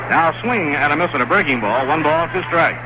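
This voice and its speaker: Vintage radio baseball announcer, higher voice. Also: male voice. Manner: steady measured calling, rising on big plays. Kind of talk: live radio baseball commentary. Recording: noisy vintage AM radio broadcast.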